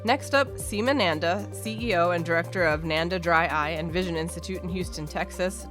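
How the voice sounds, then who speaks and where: Female podcast host, lower-pitched, scripted segment intros with music clearly playing underneath.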